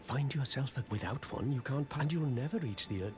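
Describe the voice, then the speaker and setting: An actor playing a meek, apologetic fledgling sorcerer in a fantasy game, hesitant and deferential. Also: male voice, a little fast, over music, lightly acted. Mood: apologetic, rueful. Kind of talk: scripted fantasy game dialogue. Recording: clear background rumble and noise.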